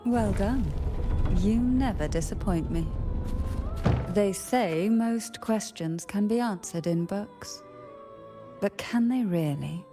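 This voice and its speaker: An actress playing a mysterious woman who narrates a game story in a measured, enigmatic way. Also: mid-range voice, animated, music in the background. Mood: calm, enigmatic.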